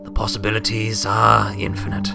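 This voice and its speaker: Storybook old wizard played by a male narrator: fatherly, formal, grave, low voice. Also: completely flat delivery, gravelly, music in the background. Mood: fond. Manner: formal, measured.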